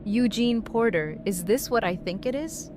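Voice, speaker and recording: A woman's voice: mid-range voice, lively intonation, moderate pace, background noise, lightly acted. A woman playing a tough, blunt, teasing but loyal friend in an audio drama, with casual, salty delivery. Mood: delighted. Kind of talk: fan audio drama acting.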